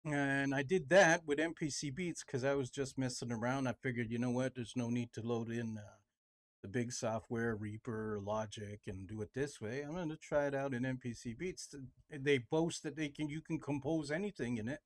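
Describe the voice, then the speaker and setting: A man's voice: medium pitch, moderate pace. A fifty-year-old man talking casually about making music, rambling and restarting his sentences, with lots of fillers. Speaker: music producer vlogger. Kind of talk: casual home-studio vlog.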